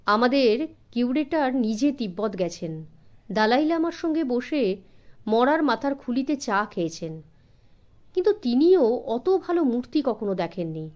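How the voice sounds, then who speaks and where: A man's voice: extremely high pitch, big pitch swings, measured pace, darkish tone, heavily acted. A woman voicing a courteous, helpful male museum assistant who explains things politely and mixes in English phrases. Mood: amused, informative.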